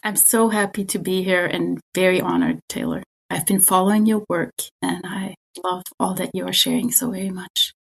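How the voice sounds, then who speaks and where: A middle-aged woman with a German accent, a spiritual director gently and earnestly telling her own story as a podcast guest. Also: on the low side, lively intonation, moderate pace, gravelly, hardly any pauses, breathy edge. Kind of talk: reflective personal storytelling.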